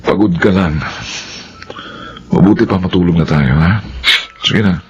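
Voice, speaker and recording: A man's voice: low voice, unhurried, a little mellow. A man playing a middle-aged husband and dutiful son in a Filipino radio drama, reasoning with mild exasperation. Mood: exasperated, placating. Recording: dull lo-fi radio sound.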